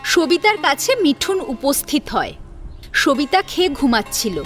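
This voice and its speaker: A woman's voice: high voice, sing-song, measured pace, soft background music. A woman narrating a scripted story in a dramatic storytelling style.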